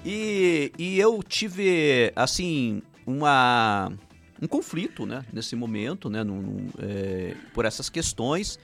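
A man's voice: medium pitch, sing-song, takes it slowly, creaky, fairly bright tone, faint music behind. Chatty man with Ukrainian roots. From Paraná, Brazil. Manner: rambling, frequent restarts and "né?" tags. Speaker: filmmaker guest. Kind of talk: casual interview chat.

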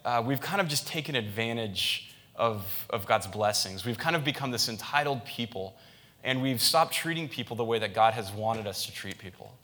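A man's voice: medium pitch, sharp tone. A man, a pastor, giving a sermon in a conversational style, with frequent restarts and fillers.

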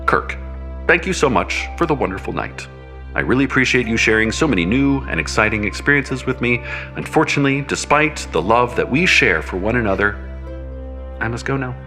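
A man's voice: mid-range voice, very animated, fairly quick, sharp tone, faint music behind. A man voicing a flirtatious, playful male creature lover in a theatrical story reading. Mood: tender, regretful.

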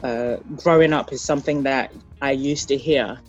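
An interview guest who grew up in Ghana and later Europe, speaking reflectively and haltingly, often searching for words. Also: mid-range voice, steady tone, muffled recording, soft background music. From born in Liberia, raised in Ghana, later the Netherlands and UK.

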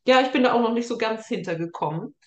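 A woman with a slightly high voice interviews a guest in a casual, conversational way. The sound is very roomy, with clear echo around her voice. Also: lively intonation, quick pace, muffled recording.

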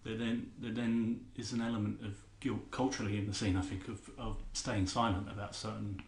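A man's voice: lowish voice, little pitch movement, brisk, creaky, echoey room, slight background noise. A man hosting a podcast, asking long, searching questions with fillers. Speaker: podcast host. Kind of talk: podcast interview.

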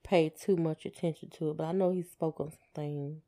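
A woman's voice: deep voice, fairly even intonation, brisk, warm tone. A woman chatting in a laid-back, plain way as a podcast co-host. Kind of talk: casual chat with spouse.

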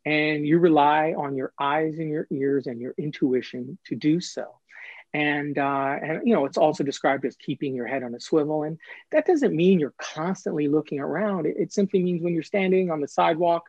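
A man's voice: fairly high pitch, moderate pace, darkish tone, dry recording. Man explaining earnestly, slightly dull dry recording. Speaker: author and interview guest. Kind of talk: explanatory interview talk.

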